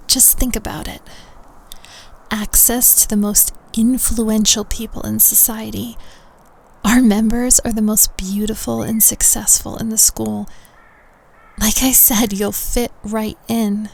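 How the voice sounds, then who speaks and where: Creaky-voiced, coaxing young society recruiter played by a woman. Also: a little high, level tone, full character voice, poor audio. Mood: persuasive, enticing. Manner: coaxing, deliberate, confiding, with breathy near-whispered phrases. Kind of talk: intimate girlfriend roleplay audio drama.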